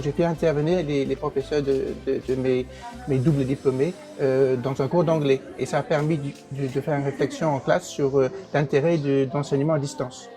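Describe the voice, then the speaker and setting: A man's voice: a little high, warm tone. Hesitant man, teacher in an interview. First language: English. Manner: hesitant, many fillers and restarts. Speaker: English teacher and program director. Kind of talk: interview answers.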